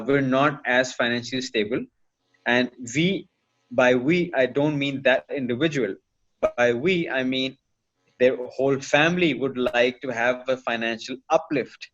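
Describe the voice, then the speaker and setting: A man's voice: mid-range voice, measured pace, fairly bright tone, highs cut off. A man, a dentist and teacher, answering interview questions earnestly and step by step, with dry asides. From Pakistan. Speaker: dentist and teacher, podcast guest.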